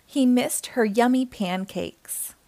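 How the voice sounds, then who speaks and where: A woman hosting a storytime, reading a children's picture book aloud in an expressive, theatrical way. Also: on the high side, slow, dry recording. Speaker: storytime host and reader.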